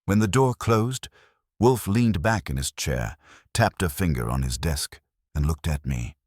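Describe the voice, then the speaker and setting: A man narrates a mystery story in the first person, his voice extremely deep and husky. His intonation is lively and wry, rising and falling freely. The sound is close and dry, with no room echo. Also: a little slow.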